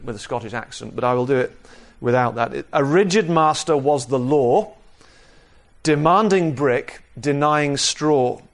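A man preaching an expository sermon in a measured, explanatory manner, repeating key phrases for emphasis. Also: mid-range voice. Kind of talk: sermon, expository Bible teaching.

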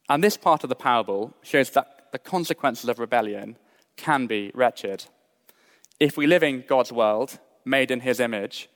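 A young adult man from Britain preaching a sermon in a measured, earnest way, mixing scripture with personal stories. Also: medium pitch. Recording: long hall reverb.

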